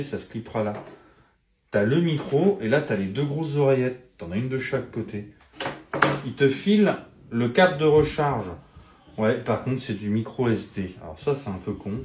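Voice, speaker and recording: A man's voice: mid-range voice, a little mellow. A middle-aged man chatting casually as he unboxes gear, thinking aloud and swearing when annoyed. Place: room at home. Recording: roomy echo, faint music behind.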